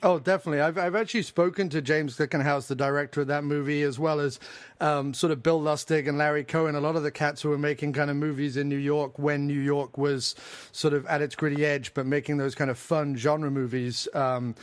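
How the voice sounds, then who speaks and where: An English man hosts a podcast interview with a somewhat raised voice. He sounds polite and keen, quick to apologize and thank his guest, recorded close and dry. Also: on the high side, level tone, clean tone, no echo. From England. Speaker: podcast host. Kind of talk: interview hosting.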